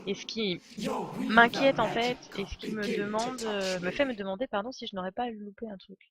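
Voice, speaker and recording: A woman's voice: medium pitch, fairly even intonation, moderate pace, a loud crowd. A woman streamer giving casual live game commentary, thinking aloud in a hesitant way and correcting herself. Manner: hesitant, musing, self-correcting. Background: soft game music.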